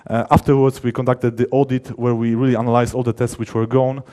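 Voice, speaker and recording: Mellow-voiced man giving a talk. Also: mid-range voice, level tone. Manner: emphatic, halting, often rephrasing mid-sentence. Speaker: conference speaker, software developer. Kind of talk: technical conference presentation, informal.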